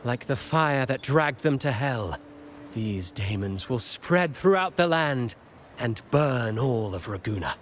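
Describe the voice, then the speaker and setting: A man's voice: medium pitch, animated, takes it slowly, a little hiss or hum. Theatrical, wry male playwright in a game. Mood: ominous, dramatic. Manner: theatrical, eloquent, relishing ominous words. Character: theatrical male playwright, brooding, wry and fond of dark tales. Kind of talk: dramatic video game voice acting.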